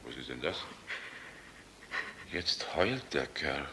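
Gruff, animated old German general. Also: male voice, extremely low pitch, takes it slowly, noisy background, exaggerated voice. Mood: passionate, fatherly. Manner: blustering, rhetorical, swinging from outbursts to hushed musing. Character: old, world-weary, passionate German general, gruff but fatherly. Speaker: actor in a radio play. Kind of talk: German radio drama acting.

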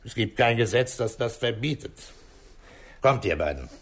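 An actor playing a methodical, calm police commissioner in a 1960s German radio crime drama, deliberate and authoritative as he explains. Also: medium pitch, noisy background, close to an everyday voice. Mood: stern, official.

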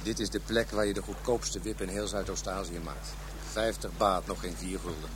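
Low-voiced, world-weary police detective played by an actor. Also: male voice, steady tone, normal speed, background noise, close to an everyday voice. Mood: grimly informative. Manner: matter-of-fact, explanatory, grim. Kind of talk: radio drama acting.

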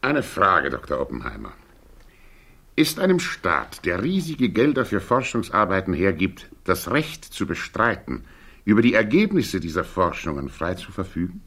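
A man's voice: sits low, huge pitch swings, normal speed, fairly bright tone, slightly rough, exaggerated voice. A male actor in a 1960s German radio drama playing a challenging attorney who puts formal, pointed questions.

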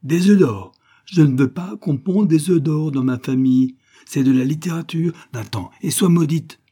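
A man's voice: fairly low pitch, normal speed, lightly acted. A man voicing an angry father rooster who rants indignantly, in a storybook reading. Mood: furious. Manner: loud, indignant ranting. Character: furious blustering father rooster.

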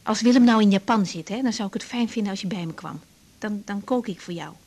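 A woman's voice: mid-range voice, fast, a little mellow, close to an everyday voice. An actress playing a frank young woman doctor in a naturalistic Dutch radio drama, hesitant and informal in her speech. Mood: warm, hesitant. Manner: hesitant, repeating words, informal. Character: young woman doctor, frank, caring but easily thrown.

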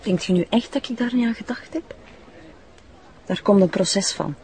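A woman's voice: medium pitch, normal speed, mellow, slight background noise, close to an everyday voice. A woman playing a bitter, defiant young runaway addict in a TV drama, with a Flemish accent and a coarse, contemptuous way of talking. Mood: scornful, hard. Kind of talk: naturalistic TV drama acting.

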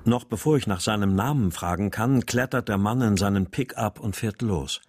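An actor playing a brooding father who narrates in the first person, sober and measured. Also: fairly low pitch, darkish tone, no room sound, studio quality, close to an everyday voice.

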